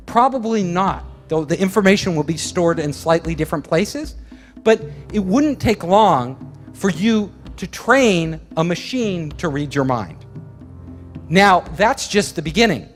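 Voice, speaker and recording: A man giving a lecture in a chatty way, chuckling between points. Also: high-pitched. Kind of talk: public lecture.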